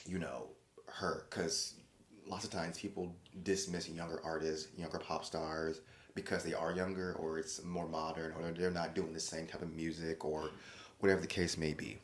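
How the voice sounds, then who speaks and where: A man hosting a solo podcast, talking casually in a rambling, chatty way with many restarts and lively quoted voices. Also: sits low, moderate pace, roomy sound. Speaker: podcast host.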